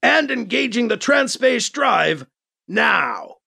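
A man's voice: extremely high pitch, big pitch swings, slow pace, gravelly, sharp tone, slightly rough, voice pushed up, full character voice. A man voicing a loyal, anxious cat-man spaceship crewmate in an audiobook, earnest and protesting. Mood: announcing. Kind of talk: audiobook character acting.

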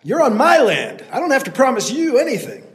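A man voicing a gruff older male landowner with a shotgun, shouting blunt warnings. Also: extremely high pitch, wildly swooping pitch, slow, voice pushed up, full character voice.

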